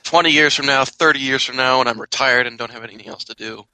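A man's voice: mid-range voice, quick pace, bright, forceful tone, no room sound, rough recording. A man on a podcast panel chatting casually about video games in a grumbling, insistent way.

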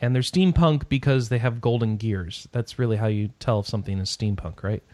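A man's voice: on the low side, fairly quick, dark tone, dry recording. A man hosting a casual podcast, reading out gaming news with rambling, sarcastic asides that trail off into mumbles.

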